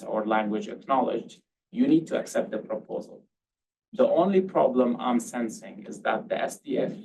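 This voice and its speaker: Gravelly low-voiced man on a policy panel. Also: darkish tone. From Syria. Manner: hedging, restarts often with um and I mean. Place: panel event hall. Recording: distant mic, faint background noise.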